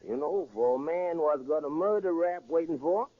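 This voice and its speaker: An actor playing a naive, curious and deferential prisoner, stammering and folksy, in a 1930s radio drama. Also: male voice, on the high side, moderate pace, no room sound, phone-quality sound, natural voice. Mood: curious, puzzled. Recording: thin, band-limited 1930s radio recording.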